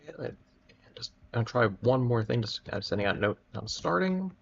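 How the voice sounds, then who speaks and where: Gravelly-voiced man chatting on a livestream. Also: mid-range voice, big pitch swings, a little fast. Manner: hesitant, rambling, self-interrupting. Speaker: livestream host. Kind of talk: casual livestream chat to viewers. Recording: dull, lo-fi microphone sound.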